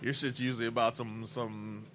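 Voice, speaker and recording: A man co-hosting a casual podcast chat, swearing freely and telling animated stories. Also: mid-range voice, normal speed, a little hiss or hum. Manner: profane, animated storytelling with laughs. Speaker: podcast co-host.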